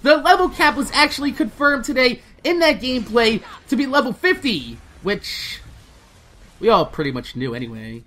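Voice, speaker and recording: A woman hosting a gaming video, giving casual, animated news commentary with informal talk and plenty of swearing. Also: fairly high pitch, normal speed, slight background noise.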